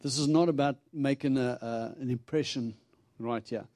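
Texan-accented South African preacher, dry sound. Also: male voice, medium pitch, steady tone, normal speed, darkish tone, dry recording. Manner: chatty and humorous, acting out dialogue with God. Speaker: visiting preacher. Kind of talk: sermon. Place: church.

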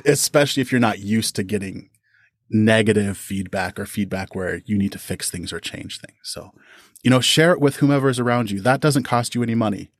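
A man's voice: fairly low pitch, fairly quick, dry recording, clean studio sound. A young adult man chatting casually as a podcast guest, giving advice in an encouraging way.

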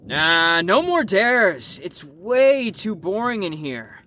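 A woman's voice: medium pitch, big pitch swings, slow pace, a bit bright, full character voice. A woman playing a brash, rebellious young slacker in an audio drama, teasing and sarcastic in slangy speech. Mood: bored, cocky.

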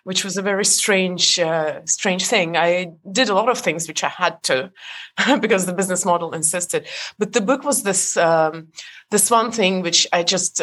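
A woman's voice: lowish voice, fairly bright tone. A woman in her forties from Estonia, a guest author talking in a casual interview, hesitant with many fillers and restarts.

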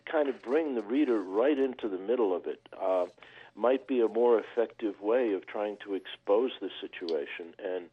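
A man's voice: fairly low pitch, animated, normal speed, husky. A man from Maine, a novelist, speaking earnestly on a phone line, with hesitant restarts as he explains. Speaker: novelist guest. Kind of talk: interview over the phone.

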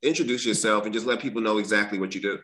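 A man hosting a podcast interview, speaking in a somewhat low voice with a bright, forward tone. He keeps things laid-back and slangy, laughing easily as he talks. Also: fairly even intonation, very fast, slight background noise. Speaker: podcast host. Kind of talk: casual interview chat.

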